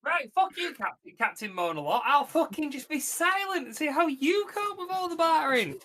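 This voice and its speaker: A woman's voice: sits high, very animated, normal speed, a bit bright. A woman chatting as a tabletop game player, blunt and bantering with the group. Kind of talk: casual chat.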